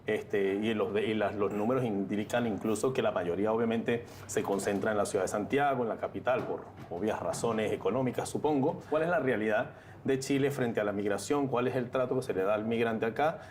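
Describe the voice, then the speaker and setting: A man's voice: lowish voice, fairly quick, hardly any pauses, darkish tone, a little hiss or hum. A man hosting a televised interview and debate, framing questions in a conversational way and thinking aloud as he goes. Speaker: TV interview host.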